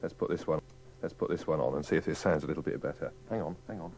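A man's voice: a bass voice, quick pace, darkish tone. A man working as a radio DJ, casually ad-libbing live to his listeners. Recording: dull old radio tape with faint music.

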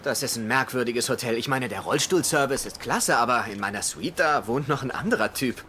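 A bemused, chatty patient in a TV comedy dub. His voice is bright and lively, with faint noise behind it. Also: medium pitch, fairly quick. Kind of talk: German dubbed TV comedy acting.

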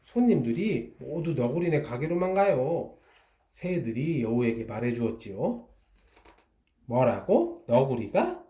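A father reading a children's storybook aloud, in a slow, gentle, drawn-out way. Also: mid-range voice, expressive. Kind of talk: storybook narration. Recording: echoey room.

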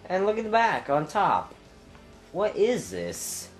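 A young man with a congested, stuffy voice talks slowly and loosely as he plays a racing game, trailing off into ums and breaking into loud yelps. He sounds clogged up and under the weather. Faint game music runs underneath. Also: sits high, wildly swooping pitch. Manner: rambling, thinking aloud with many ums, sudden loud exclamations. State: stuffy nose, congested throat. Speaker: gameplay commentator. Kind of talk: casual let's-play commentary.

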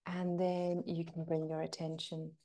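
A woman leading a guided breathing meditation as a teacher, speaking gently and soothingly with lingering pauses. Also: deep voice, completely flat delivery.

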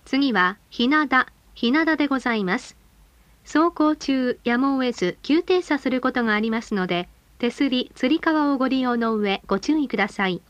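A woman reading scripted bus stop announcements in a polite, formal and measured way. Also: sits high, animated, no echo.

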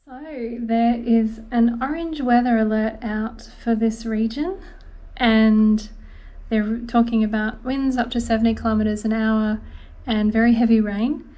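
A woman's voice: on the high side, steady tone, a little slow, warm tone. A middle-aged woman travel vlogger talking casually to the camera about her trip. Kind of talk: casual vlog talk to camera and narration. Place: hotel rooms and outdoor train platform. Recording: noisy on-location sound, music under narration.

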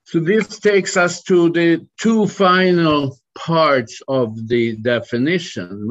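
A middle-aged man from Sweden giving a recorded academic lecture over slides in a measured, deliberate way, now and then restarting a word, recorded on a webcam video call. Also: mid-range voice, muffled recording.

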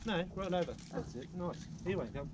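A man's voice: high voice, animated, a little fast. A man in his thirties with an Australian accent, hosting a fishing outing on a small boat and patiently coaching a child in a casual, upbeat way. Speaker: fishing show host. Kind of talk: casual instructional fishing chat. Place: small boat on open water outdoors. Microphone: action camera mounted on the boat. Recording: loud wind and water noise outdoors.

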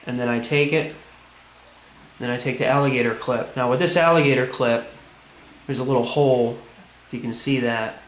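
A man's voice: mid-range voice, fairly even intonation, moderate pace. A man giving a casual hands-on how-to demonstration, explaining plainly step by step. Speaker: tutorial presenter. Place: indoors at a tiled tabletop. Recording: echoey room, background noise.

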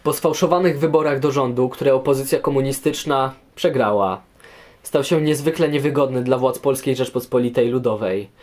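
A man's voice: medium pitch, moderate pace, darkish tone. A young man reading scripted history commentary as a guest on an audio programme.

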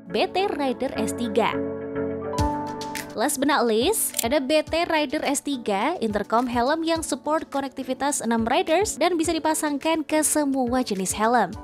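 High-pitched woman promo narrator. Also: lively intonation, a little slow. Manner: chatty, persuasive. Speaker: product review narrator. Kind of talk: scripted promotional voice-over. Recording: music bed under studio voice-over. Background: background music.

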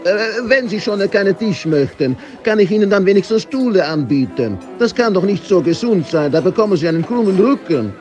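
A courteous waiter with an Italian accent in a comic audio drama. His voice is high and very animated, fussing politely and eager to serve. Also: fairly quick, few pauses, soft background music. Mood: polite, puzzled.

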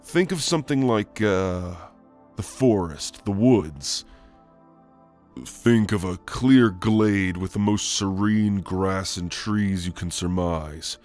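A man playing a gentle shadowy sleep demon in an ASMR roleplay, speaking softly and haltingly to soothe the listener. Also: deep voice, soft background music. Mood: gently coaxing.

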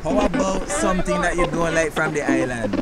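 Young male street interviewer, upbeat slangy host, fairly high voice, music running underneath. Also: brisk. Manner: upbeat, slangy, laughs often. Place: sunny beach outdoors.

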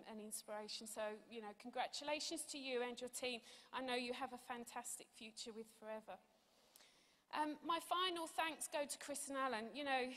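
A woman's voice: high voice, fairly bright tone. A woman in her forties giving an earnest, conversational testimonial speech from a stage into a handheld microphone. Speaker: award recipient giving a testimonial. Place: large auditorium stage. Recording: echoey hall sound over PA.

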